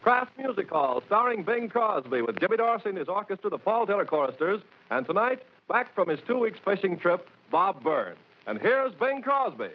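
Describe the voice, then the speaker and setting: A male announcer introduces a show in old radio style. His voice is high and animated, on a muffled recording with faint noise. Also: normal speed.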